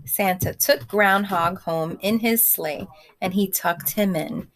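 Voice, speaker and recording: Unhurried woman reading aloud to children. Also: mid-range voice. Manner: expressive, pauses to ask listeners questions. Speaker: read-aloud storyteller for young children. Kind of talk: picture-book read-aloud with conversational asides.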